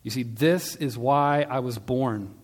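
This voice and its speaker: A man voicing a calm, solemn male holy teacher on trial, speaking in a measured, quietly assured way. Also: medium pitch. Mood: earnest. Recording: long hall-like reverb.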